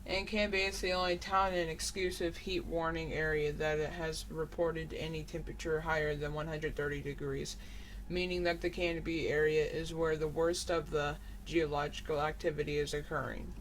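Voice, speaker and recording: A man's voice: fairly high pitch, little pitch movement, normal speed, a bit bright, a little hiss or hum, lightly acted, poor audio. A man playing a breaking-news anchor, reading a scripted disaster report in a formal, serious manner.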